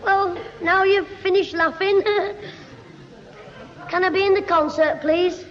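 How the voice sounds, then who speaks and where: A very high, piping voice: a cheeky Lancashire schoolboy in an old radio sitcom. He schemes and wheedles with quick backchat. The sound is muffled and hissy, in a reverberant hall. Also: fairly even intonation, a bit bright, some chatter behind, exaggerated voice. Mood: eager, wheedling. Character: cheeky, scheming working-class English schoolboy. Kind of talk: 1960s radio sitcom acting.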